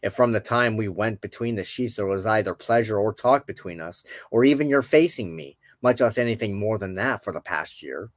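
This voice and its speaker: A man's voice: on the low side, lively intonation, a little fast, few pauses, no echo, natural voice. A man voicing a king's wife in a storybook tale, a frank, formal and reproachful noblewoman. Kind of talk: storybook character dialogue in narration.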